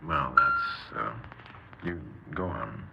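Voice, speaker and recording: Interrogator, extremely low gravelly voice, patient, slow, 1950s radio drama, noisy recording. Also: male voice, highs cut off, full character voice. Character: unhurried, patient male interrogator.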